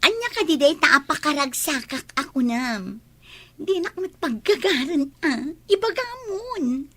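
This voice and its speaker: A woman's voice: high-pitched, very animated, a little slow, sharp tone, no room sound, exaggerated voice. A woman playing a lovesick, wistful young Filipina in an Ilocano audio drama, with an Ilocano accent. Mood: friendly.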